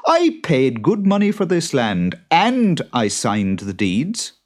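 A man's voice: a little high, huge pitch swings, slow pace, voice pushed up, full character voice. A man voicing a stubborn, proud farmer in a storybook, speaking emphatically.

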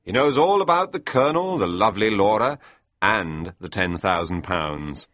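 A man's voice: lowish voice, moderate pace, no room sound. A man voicing an amused, easygoing young painter who teases his friend, in a storybook reading. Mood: teasing. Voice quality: wry, relaxed.

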